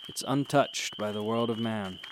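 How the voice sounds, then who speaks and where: An actor playing a confident, philosophical mentor in a radio drama, with a teasing, cryptic manner. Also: male voice, lowish voice, normal speed, noisy background, natural voice. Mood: calm, cryptic. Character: cryptic, wry, confident male adventurer and mentor. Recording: music behind the voice.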